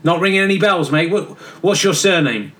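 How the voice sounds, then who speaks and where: A man's voice: high voice, animated, brisk, pushed and bright, a little hiss or hum. A man voicing a chummy, forgetful male baptizer in a radio comedy sketch, dismissive and full of "mate". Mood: breezy.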